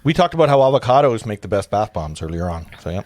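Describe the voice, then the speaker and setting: A man narrating a script informally and haltingly as host of a livestreamed table read, often correcting himself. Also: fairly low pitch, very animated, crowd noise. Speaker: host, reader of stage directions.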